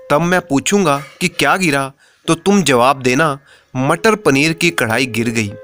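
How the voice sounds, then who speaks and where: Miserly schemer husband read by a male storyteller, comic tale, instructive and sly, lightish pitch, unhurried. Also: a bit bright.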